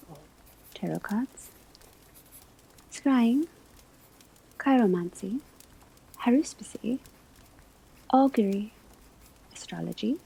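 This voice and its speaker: A woman playing a patient, scholarly witch-academy teacher in an ASMR roleplay, lecturing in soft breathy whispers with formal, deliberate phrasing. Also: mid-range voice, exaggerated voice, rough recording.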